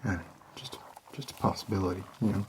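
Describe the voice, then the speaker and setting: A man playing a laid-back, shady homicide detective in an ASMR roleplay, halting, rambling and deadpan. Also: slight background noise, lightly acted. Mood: deadpan, casual. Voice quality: low, creaky.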